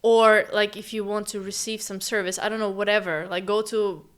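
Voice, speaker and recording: A young woman from Uzbekistan whose first language is Russian talks casually on a podcast. Her voice is smooth and clear, and her pitch sits in the middle. She keeps the chat lively and quick, with asides and laughter. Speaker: podcast guest.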